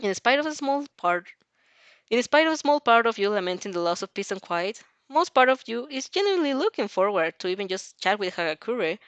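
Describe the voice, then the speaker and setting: A woman's voice: a little high, sing-song, fairly quick, fairly bright tone, clear, resonant tone, dry recording, muffled recording. A woman reading a fan story aloud informally, giggly and stumbling over lines as she reacts to it. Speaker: narrator and reactor of fan fiction.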